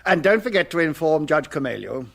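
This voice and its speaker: An actor playing a weary senior police official, curt and authoritative, in a radio drama. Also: male voice, a little high, sing-song, brisk, creaky, fairly bright tone, full character voice. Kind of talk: British radio drama acting.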